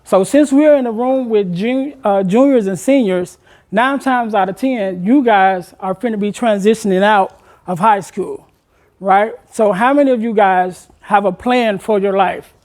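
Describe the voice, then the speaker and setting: A man, a motivational speaker addressing a room of high school students, asking them questions and urging them to take part. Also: measured pace, darkish tone. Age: 40s. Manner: engaging the audience with questions, conversational and encouraging.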